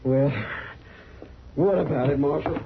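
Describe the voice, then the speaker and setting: An actor playing a bitter, hot-tempered young American cowboy in an old radio western, talking with sullen resentment. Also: male voice, fairly high pitch, unhurried, gravelly. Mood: defiant. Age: young adult. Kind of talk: 1950s radio western acting. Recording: muffled old radio recording, crowd noise.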